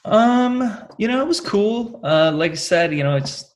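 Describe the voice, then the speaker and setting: A man's voice: high-pitched, lively intonation, a little slow. A man in his late twenties with a Californian accent, chatting casually as an interview guest in a rambling, filler-heavy way. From California, United States. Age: late 20s. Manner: rambling, peppered with "like" and "you know". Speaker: interview guest, rock singer.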